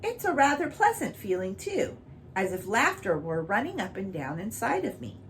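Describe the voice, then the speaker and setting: A woman voicing a gentle, piteous storybook cow. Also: mid-range voice, sing-song, normal speed, slight background noise, close to an everyday voice, poor audio. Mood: piteous, earnest. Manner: piteous, simple, earnest. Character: gentle, bewildered red cow, female.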